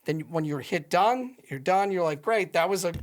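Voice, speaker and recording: Nasal, slightly high-voiced man. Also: expressive, normal speed. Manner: loose, rambling with many fillers. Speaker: podcast guest. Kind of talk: casual interview chat.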